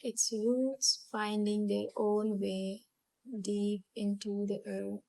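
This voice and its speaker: Woman, hypnotic guided meditation, calm, unhurried, long pauses, even intonation, close dry sound. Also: medium pitch, vocal fry, no room sound, low-quality recording. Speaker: hypnotherapy channel host and guide. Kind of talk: guided visualisation, gentle hypnotic storytelling.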